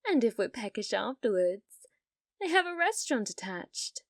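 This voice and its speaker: Girl voice actor doing clingy yandere girlfriend asmr, higher voice, really slow, sweet but kinda threatening, super dry close sound. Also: big pitch swings, no room sound. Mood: doting, controlling. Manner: sugary, coaxing, with veiled menace. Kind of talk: ASMR roleplay, addressing the listener as a date.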